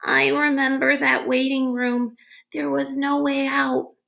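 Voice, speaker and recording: A high, urgent young boy from a children's storybook, voiced by a woman reading aloud. He is earnest and anxious, pushing out his questions with worried insistence. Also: flat delivery, slow, creaky, a bit bright, full character voice. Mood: anxious, questioning. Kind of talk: children's novel read-aloud.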